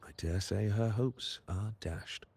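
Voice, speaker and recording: An actor voicing a sly, money-loving manager in a video game, with theatrical, mocking formality and a condescending air. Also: male voice, on the low side, slow, creaky, darkish tone, husky, heavily acted. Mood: mocking, suave.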